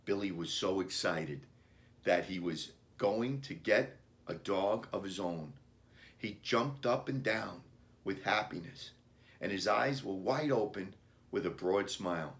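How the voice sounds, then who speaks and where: A man with a lowish voice reads a children's story aloud. His pace is very slow, and he sets out each sentence deliberately in short phrases. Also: fairly bright tone. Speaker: author reading his children's book.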